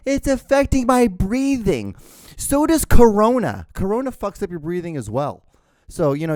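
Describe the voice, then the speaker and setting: A man's voice: fairly high pitch, darkish tone, no room sound, studio quality. A man from Honduras hosting his own podcast, talking casually and ranting with lots of profanity and stammered restarts. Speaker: podcast host. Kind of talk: casual solo podcast monologue.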